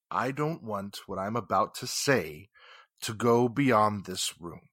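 Male actor as wealthy, suspicious 1940s radio-drama businessman; sharp bright tone, slow emphatic delivery, formal and indignant. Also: on the low side, lively intonation, no room sound, studio quality, full character voice. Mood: indignant, accusing. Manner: deliberate, emphatic, formal phrasing.

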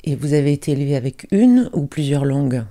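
An interviewer asking formal, measured questions. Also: medium pitch, sing-song, mellow.

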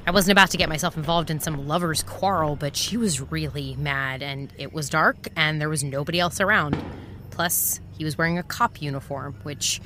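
An actress playing a wary private eye, narrating a Gothic mystery drama in a wry, reflective way. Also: female voice, low-pitched, normal speed, noisy background, lightly acted. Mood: brooding, wary. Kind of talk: first-person scripted audio drama narration.

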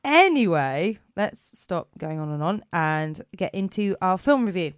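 Hoarse chatty woman podcast host. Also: lowish voice, very animated, measured pace, dry recording, studio quality. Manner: chatty, many fillers, laughs often. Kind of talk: casual chat.

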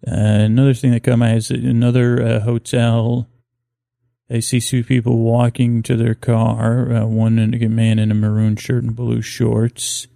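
A man hosting a bedtime podcast, talking in a halting, meandering, improvised monologue with a creaky voice that drifts into tangents. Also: medium pitch, monotone, mellow, dry recording, clean studio sound. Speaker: host of a bedtime story podcast. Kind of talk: rambling, improvised sleep-aid monologue.

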